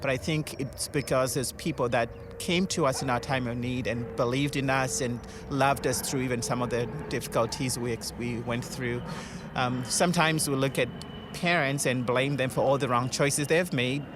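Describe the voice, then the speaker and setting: Man, earnest and reflective, mid-pitched voice, noisy background. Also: fairly even intonation, moderate pace. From Zimbabwe. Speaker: Salvation Army officer. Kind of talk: conversational interview answers.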